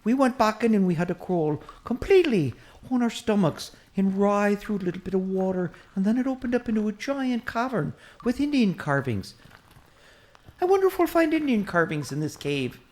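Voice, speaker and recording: A woman voicing a chatty, excitable Irish priest, a short man who rambles eagerly in an Irish accent in a comic audio drama. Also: mid-range voice, moderate pace, close to an everyday voice. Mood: rambling, curious. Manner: rambling, eager, easily distracted.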